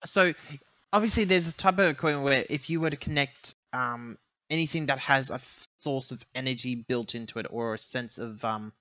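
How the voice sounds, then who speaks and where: A man with a bright, forward voice on a dry but slightly dulled recording. He talks casually through his tabletop game moves, taking a wordy route to his point. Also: mid-range voice, moderate pace, no room sound, highs cut off. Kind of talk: casual in-game chat, talking through actions.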